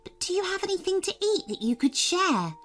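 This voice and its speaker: A tiny fairy girl with a very high, quick, polite voice, put on by a woman. She sounds eager and a little breathy, lifting each question brightly. Gentle music plays faintly behind her in this storybook telling. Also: big pitch swings.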